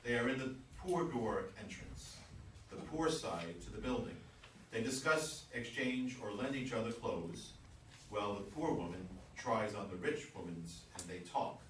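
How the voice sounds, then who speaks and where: A man's voice: fairly low pitch, animated, measured pace. A man reading stage directions aloud at a live play reading. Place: echoey room at a live reading. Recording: echoey room, distant mic, noisy.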